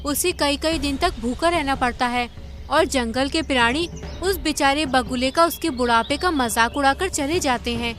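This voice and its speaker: A woman's voice: high-pitched, normal speed, a bit bright, faint music behind. A woman voicing a kind, inquisitive young female sparrow in a cartoon, earnest and questioning. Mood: challenging.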